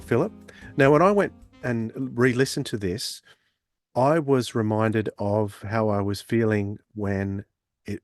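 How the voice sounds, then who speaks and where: A man's voice: medium pitch, dry recording, clean studio sound. A man hosting a fan podcast in a reflective, conversational style. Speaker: podcast host. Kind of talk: reflective casual fan chat.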